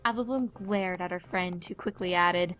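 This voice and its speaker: A woman's voice: fairly low pitch, moderate pace, a bit bright. A woman reading scripted story narration as the narrator of a tale.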